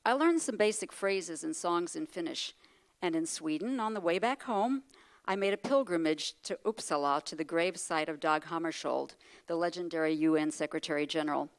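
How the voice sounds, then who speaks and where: An older woman, a retired professor, reads a prepared speech at a luncheon. Her voice sits a little low, and she tells her life story thoughtfully. She adds wry asides and rhetorical questions along the way. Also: moderate pace. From United States. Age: 60s. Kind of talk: prepared speech read to an audience.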